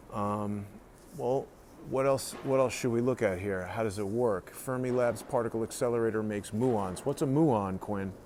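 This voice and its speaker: A man's voice: mid-range voice, dark tone. A man hosting a casual live tour indoors, commenting and reading signs aloud in an inquisitive way. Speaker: host of a live-streamed tour. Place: indoors, walking around a research facility. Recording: noisy live stream in a public building.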